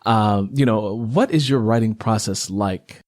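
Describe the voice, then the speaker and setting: A man's voice: on the low side, measured pace, darkish tone, no echo, studio quality. A man hosting a casual interview, talking conversationally in a rambling way and repeating his phrases. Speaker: interview host, writer.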